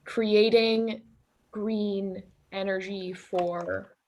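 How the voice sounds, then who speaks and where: A woman's voice: mid-range voice, fairly even intonation, extremely slow, darkish tone, a little hiss or hum, low-quality recording. A woman student playing an economist in a class role-play, agreeing earnestly and speaking with many fillers over a video call.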